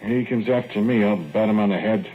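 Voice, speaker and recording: A man's voice: fairly low pitch, a little fast, close to an everyday voice. An actor playing a skeptical, plain-spoken rural young man with a dry, doubting drawl, in a 1940s radio play. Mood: matter-of-fact. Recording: muffled old radio broadcast, faint music.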